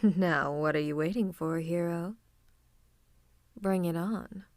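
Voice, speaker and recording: A woman's voice: fairly low pitch, takes it slowly, darkish tone, dry recording, clean studio sound. A woman playing a playful female supervillain, affectionate and teasing with theatrical menace, in an ASMR roleplay. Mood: mock menacing. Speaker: voice actor. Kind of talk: ASMR roleplay acting, one-sided conversation.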